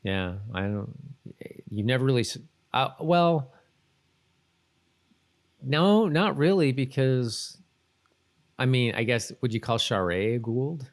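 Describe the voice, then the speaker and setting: A man's voice: mid-range voice, animated. A middle-aged man co-hosting a podcast in casual chat, hedging and often correcting himself as he goes. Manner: hedging, halting, self-correcting. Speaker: podcast co-host.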